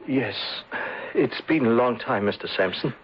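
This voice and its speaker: An actor playing an anguished former insurance agent in a radio drama, with a husky voice and a halting, earnest, melodramatic delivery. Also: male voice, mid-range voice, lively intonation. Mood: weary, troubled. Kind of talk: 1970s radio drama acting. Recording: dull lo-fi old radio broadcast.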